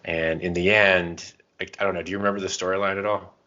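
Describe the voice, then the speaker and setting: A man's voice: low voice, flat delivery, quick pace, sharp tone, dull audio. A man co-hosting a podcast, chatting casually and thinking aloud in a rambling way. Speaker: podcast co-host. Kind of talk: casual chat about tabletop roleplaying and comics.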